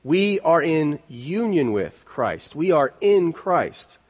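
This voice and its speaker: A man preaching an expository sermon in an earnest, deliberate way, repeating key words for emphasis. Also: on the high side, very animated, breathy edge. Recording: long reverberant hall echo.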